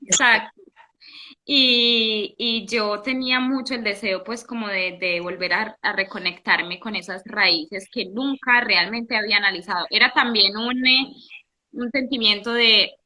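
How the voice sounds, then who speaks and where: Bright-voiced young woman telling her story. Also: fairly high pitch. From Italy. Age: young adult. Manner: animated storytelling with frequent restarts. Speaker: guest. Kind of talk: casual interview.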